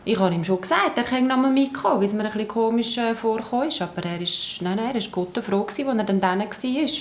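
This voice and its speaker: A woman's voice: a little high, expressive, fast talker, echoey room, a little hiss or hum. An actress playing a defensive, hesitant boat woman with a Swiss German accent in a naturalistic radio play. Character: defensive boat woman being questioned about a missing boy.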